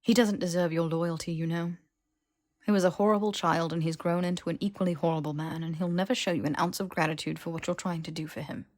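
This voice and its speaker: A woman's voice: fairly low pitch, steady tone, fast, lightly acted. A young man wizard performed by a woman reader, brash and sarcastic, leaning hard on his words. He sounds exasperated and blunt, a hero in a fantasy story reading. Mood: weary, grumbling. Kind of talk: character voice in fan fiction audiobook.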